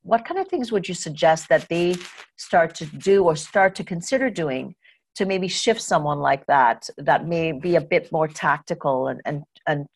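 Low-pitched woman interviewing in conversation. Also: gravelly, husky. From Trinidad and Tobago. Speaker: podcast host and interviewer.

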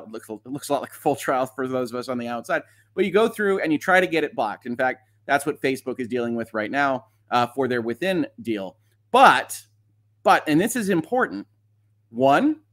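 Male livestream host, voice a touch high. Informal explainer delivery, emphatic, conversational, with dry asides. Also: a bit bright. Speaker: host of a legal commentary livestream. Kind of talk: informal explanatory commentary, reading documents aloud.

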